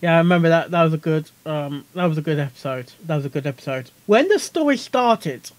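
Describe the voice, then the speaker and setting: A reaction video host talks casually in a medium-pitched voice. The commentary rambles and circles back on itself with a giggly manner, recorded dry and close. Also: animated, brisk, dry recording. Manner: rambling, repeats self, giggly.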